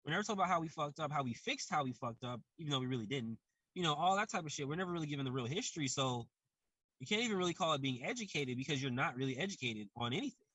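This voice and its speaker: A young man talks on a podcast in a relaxed way and makes his points with everyday comparisons. His voice is bright and sits a bit high. The sound is dry and close. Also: rapid-fire, breathy edge, no room sound, poor audio. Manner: loose, explains with everyday comparisons. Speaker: podcast co-host. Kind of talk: casual chat.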